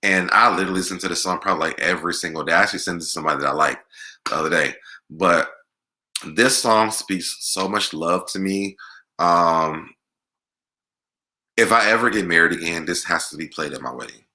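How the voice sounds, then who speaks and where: An American man with a deep voice hosting a casual music Q&A, talking conversationally and repeating words for emphasis. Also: a little fast, pushed and bright. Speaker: online show host. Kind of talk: casual live Q&A chat about music.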